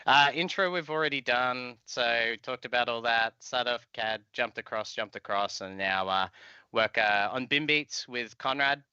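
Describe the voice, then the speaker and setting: Australian man, very bright forceful voice. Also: medium pitch, measured pace, clear, resonant tone, no room sound. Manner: chatty, informal. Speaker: guest presenter, software trainer. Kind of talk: informal technical webinar talk. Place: indoor room, video call. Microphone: headset. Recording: slightly dull headset audio.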